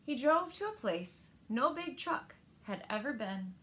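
A woman in her thirties reading a picture book aloud to children in a gentle, deliberate manner, pausing to ask them questions. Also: sits high, sing-song. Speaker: library storyteller. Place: indoors, small living room by windows.

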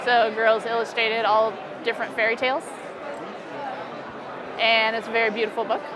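A woman's voice: fairly high pitch, flat delivery, normal speed. A young American woman talking casually and informally as an artist being interviewed at an expo booth. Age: young adult. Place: indoor convention expo booth. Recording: busy crowd noise around the voice. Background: convention hall crowd chatter.

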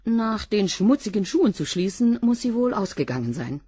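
A woman's voice: medium pitch, very animated, moderate pace, mellow, breathy edge, dry recording. An actress playing a blunt, dry cleaning woman who gives curt, matter-of-fact answers in a radio crime drama. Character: blunt, dry female cleaner being questioned.

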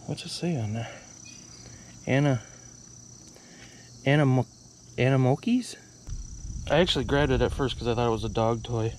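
A man's voice: medium pitch, normal speed, pauses often. Middle-aged male reseller, offhand and musing, outdoor body-cam audio with insect noise. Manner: offhand, thinking aloud, unsure of values. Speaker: reseller showing his haul. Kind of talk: casual vlog narration. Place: outdoors by a car trunk, rural.

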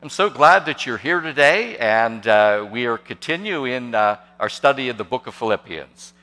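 Older male pastor, bright, forceful voice, stop-start delivery with lots of "uh", preaching in a big echoing sanctuary. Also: medium pitch, lively intonation, moderate pace. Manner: hesitant, many fillers and restarts, asks the congregation questions. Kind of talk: sermon. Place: church sanctuary, large and indoors. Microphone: podium microphone on a gooseneck. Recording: long church reverb.

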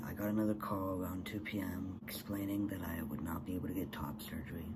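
A young man talking casually to a webcam in his bedroom, soft-spoken with many fillers, often trailing off into breathy whispers. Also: deep voice, background noise. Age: young adult. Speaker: vlogger. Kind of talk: casual personal vlog. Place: small bedroom indoors.

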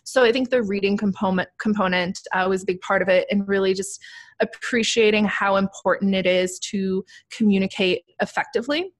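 A woman's voice: mid-range voice, steady tone. A woman in her thirties, raised in the Netherlands with Dutch and Turkish as first languages, speaking fluently and articulately as a podcast guest over a video call from a home room. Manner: articulate, structured, quick and fluent. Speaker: guest, crypto investor. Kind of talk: casual podcast interview. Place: home room with brick wall. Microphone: desktop studio mic on video call.

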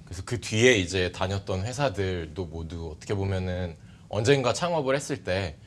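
A man in his thirties giving polite, measured explanations as an interview guest. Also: low voice, lively intonation, a little hiss or hum. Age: 30s. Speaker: interview guest, startup CEO. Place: bright TV studio. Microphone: lapel mic.

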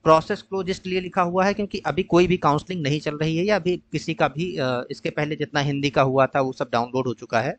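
A man's voice: on the high side, steady tone, no echo. Patient male tutor, dry close mic. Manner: patient, explanatory, mixes English phrases with frequent "uh" pauses. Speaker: tutor explaining a college allotment process. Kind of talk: screen-share tutorial walkthrough.

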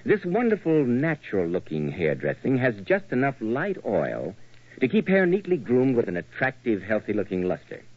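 A man works as a vintage radio announcer, delivering scripted copy with very lively intonation. The sound is dry and narrow, like an old phone-like broadcast. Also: mid-range voice, normal speed, no room sound.

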